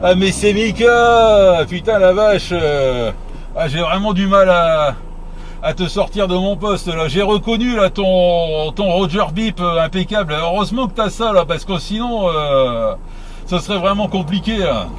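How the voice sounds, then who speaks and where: A man's voice: sits high, animated, unhurried, a little hiss or hum. A man chatting casually as a CB radio operator, rambling and repeating his phrases in colloquial language.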